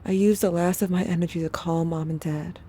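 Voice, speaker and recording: A woman playing a weary, somber narrator, telling a first-person story in measured audio drama narration. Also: fairly low pitch, steady tone, dark tone, breathy edge. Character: somber, weary narrator recounting haunted memories. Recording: faint ambient noise.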